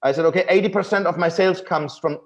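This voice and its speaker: A man's voice: sits high, fast talker, highs cut off. A man with a German accent, a guest author on a podcast, telling his story earnestly and with enthusiasm. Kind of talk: podcast interview.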